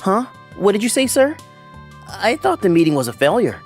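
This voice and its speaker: A man's voice: high voice, huge pitch swings, moderate pace, soft background music, heavily acted. A man playing a resentful, scheming office rival, whiny and flustered, in a manga dub. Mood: flustered, disbelieving.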